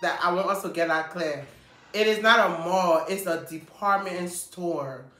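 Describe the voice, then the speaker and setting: A woman's voice: on the low side, measured pace, a bit bright. A woman, vlogging casually and emphatically to the camera. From Liberia. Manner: chatty and emphatic, gestures while talking. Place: small indoor room. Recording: echoey small room.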